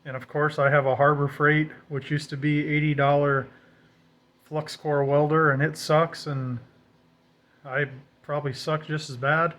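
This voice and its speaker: An American man with a voice a little higher than most men's, giving an informal show-and-tell. He talks off the cuff in a folksy, self-deprecating way, like a hobbyist addressing his viewers. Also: level tone, unhurried, a bit bright. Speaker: DIY vlogger. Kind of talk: casual off-the-cuff show-and-tell of a homemade project.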